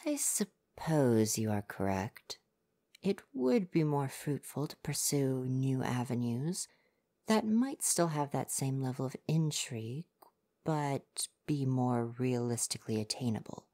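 A woman plays a prickly, soft-hearted young would-be ninja in an ASMR roleplay, with a low voice for her range. He snaps back defensively and gets flustered, yet talks earnestly and gently about his hopes. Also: lively intonation, measured pace, heavily acted. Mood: wistful.

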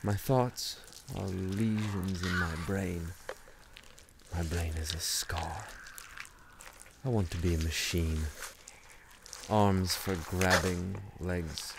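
A man plays a brooding, self-loathing tragic antihero in a radio drama. His low, creaky voice drags slowly and theatrically through a tormented monologue. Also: fairly even intonation, background noise, heavily acted. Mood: despairing.

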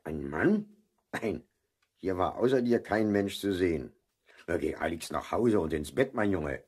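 A kindly, avuncular policeman in a children's radio play. His voice is deep and slightly husky, with a very lively melody that rises and falls. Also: a little slow, exaggerated voice. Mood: surprised, kindly. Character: kindly, avuncular night-patrol policeman, adult man. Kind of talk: 1970s German children's radio play acting.

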